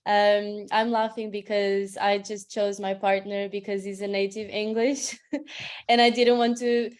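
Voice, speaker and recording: A woman with a Portuguese accent, a translator speaking informally in a webinar, chatty and quick to laugh. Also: mid-range voice, level tone. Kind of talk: casual webinar talk.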